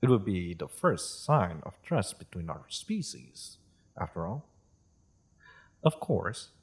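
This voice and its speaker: A man playing a polite, solemn half-dragon in a fantasy audio roleplay, with husky tone and formal, measured phrasing. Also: low voice, a little mellow, heavily acted. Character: polite, solemn male half-dragon seeking peace with humans.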